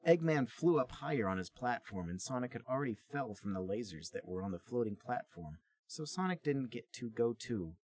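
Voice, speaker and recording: A man's voice: medium pitch, expressive, brisk, few pauses, a little mellow. A man co-hosting a podcast, reading a story aloud as narrator and chatting casually between passages.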